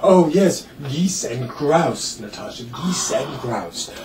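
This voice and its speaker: A man's voice: fairly high pitch, expressive, slow, a crowd in the background. A man playing a fussy, stubborn hypochondriac suitor in a farcical stage comedy, whiny and melodramatic. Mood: earnest, wistful. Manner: whiny, melodramatic, self-pitying. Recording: echoey room, distant mic, faint noise.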